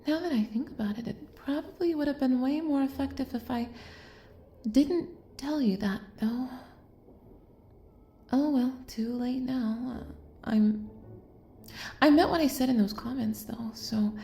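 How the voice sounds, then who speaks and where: A woman's voice: high voice, unhurried, long pauses, reverberant. A woman playing an obsessive, lovestruck kidnapper in an intimate audio roleplay, doting and possessive, coaxing the listener with hesitant asides. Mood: hushed, intimate. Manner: soft, coaxing, hesitant asides, drops into whispers.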